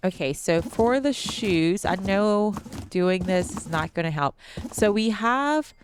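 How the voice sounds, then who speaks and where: Slow-talking stuffy-nosed woman gamer. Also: medium pitch, lively intonation, background noise. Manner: chatty, addresses viewers as guys, frequent ums and restarts. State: slightly stuffy nose. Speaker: gaming channel host. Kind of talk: casual let's-play commentary.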